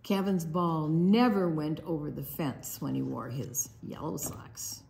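A woman reading a children's picture book aloud, expressively and with deliberate dramatic pauses. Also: low-pitched, vocal fry, darkish tone. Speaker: storytime book reader.

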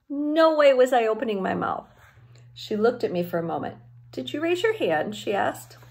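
A middle-aged woman reading a children's novel aloud as an expressive storyteller, recorded at home on a handheld-distance device. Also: fairly high pitch. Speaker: teacher reading a book aloud. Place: small home room with bookshelves.

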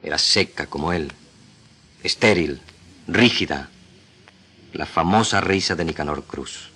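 Deep, forceful Argentine man reading solemnly. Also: breathy edge. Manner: solemn, deliberate, declamatory. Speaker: radio drama actor reading literature. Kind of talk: dramatic literary reading for radio.

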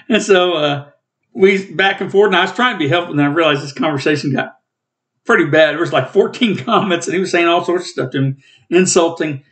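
A man in his late fifties with a rough, coughing throat, giving a rambling, plainspoken Bible study from home over a webcam. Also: on the high side, a bit bright. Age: 50s. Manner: rambling, plainspoken, self-corrects. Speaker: Bible teacher and channel host. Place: small room at home, indoors.

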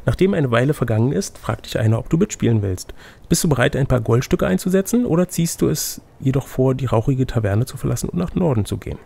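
Gravelly, husky man reading an adventure story. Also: medium pitch, lively intonation, quick pace, dark tone. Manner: playful, chatty asides between read passages. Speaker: game master reading a gamebook aloud. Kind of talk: storybook narration mixed with casual banter.

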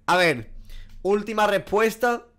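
A man's voice: sits high, expressive, takes it slowly, sharp tone. A man in his twenties with a Spanish accent from Spain, a streamer casually reacting aloud with slang and swearing. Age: 20s. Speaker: gaming streamer. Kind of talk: casual reaction commentary over a quiz. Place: small room at a desk, indoors.

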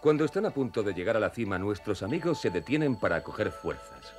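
A man narrating a children's cartoon like a storybook, with expressive, clear phrasing. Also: lowish voice, quick pace, soft background music.

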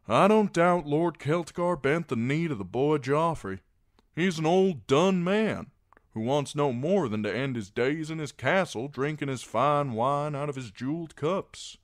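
A man's voice: fairly high pitch, expressive, measured pace, dry recording, clean studio sound, voice pushed up. A man voicing an earnest, blunt knight in an audiobook, arguing in an insistent, impassioned way. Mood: challenging. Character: earnest, blunt, plain-spoken male knight pleading for mercy.

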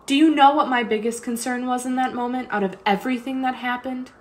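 A woman playing a high-voiced, anguished young mother. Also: steady tone, brisk, lightly acted. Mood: quiet, tender. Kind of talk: student radio play acting.

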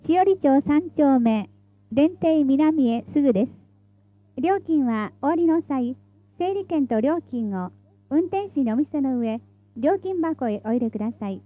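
A woman's voice: sits high, narrow, tinny audio. A woman reading scripted tram announcements in a formal, polite, carefully enunciated way, as the stop announcer.

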